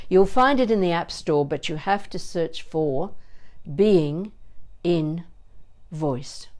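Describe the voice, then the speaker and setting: A woman's voice: low-pitched, warm tone. An older woman giving informal instruction as a voice coach, talking in a chatty, digressive, playful way. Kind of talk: informal instructional talk with demonstrated vocal exercises.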